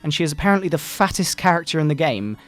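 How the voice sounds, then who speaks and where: Man putting on a pompous, high-pitched fire-and-brimstone moral crusader. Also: expressive, lightly acted. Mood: scornful, preachy. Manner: pompous, melodramatic preaching with drawn-out words. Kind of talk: mock-dramatic satirical reading.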